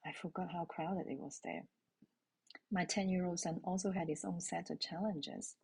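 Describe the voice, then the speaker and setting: A middle-aged woman from Hong Kong, a native Cantonese speaker, telling a personal parenting story in a calm, intimate way and asking her listeners questions. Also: lowish voice, normal speed, creaky, husky. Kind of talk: reflective spoken essay.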